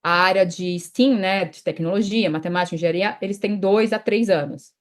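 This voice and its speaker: A woman's voice: medium pitch, a little fast. A woman from Brazil in her thirties, a guest in a casual interview, talking chattily with many restarts and fillers. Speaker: guest, immigration consultant.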